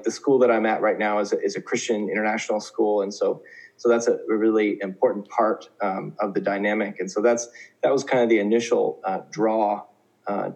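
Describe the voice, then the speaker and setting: A man's voice: lowish voice, creaky, a little mellow, poor audio. A man in his thirties from Oklahoma, a teacher, talking casually as a guest in an interview, with many fillers and restarts. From Oklahoma, USA. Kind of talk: conversational interview.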